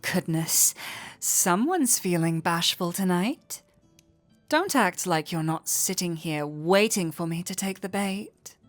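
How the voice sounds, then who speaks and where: Slow, teasing fantasy innkeeper played by a woman. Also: on the low side, lively intonation, faint music behind. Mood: flirty, teasing. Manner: playful, coy, teasing, unhurried. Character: flirty, teasing androgynous tavern innkeeper in a fantasy world. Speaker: voice actor in audio roleplay. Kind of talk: intimate fantasy roleplay addressed to the listener.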